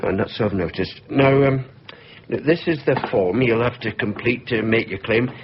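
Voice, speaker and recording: An actor playing a polite, flustered insurance assessor with a Scottish accent, hesitant and formal in a radio drama. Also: male voice, medium pitch, moderate pace, gravelly, natural voice. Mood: wary, businesslike. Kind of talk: naturalistic radio drama acting. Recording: muffled, noisy off-air radio recording.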